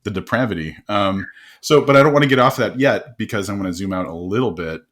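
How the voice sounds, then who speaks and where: A man hosting an interview podcast, telling quick stories in a casual, animated way with frequent laughs and restarts. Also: fairly low pitch. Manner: animated storyteller, laughs often, many restarts. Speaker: podcast host.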